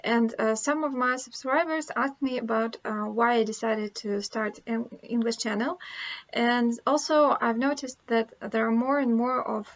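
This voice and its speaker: A woman's voice: fairly high pitch, steady tone, measured pace, dull audio. A woman from Russia with a Russian accent, a language teacher chatting casually to camera, hesitant with many fillers and restarts.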